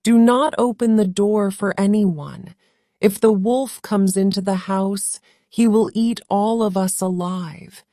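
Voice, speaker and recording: A woman voicing a loving mother goat who gently warns her children. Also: mid-range voice, slow pace, no echo, studio quality, lightly acted. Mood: caring.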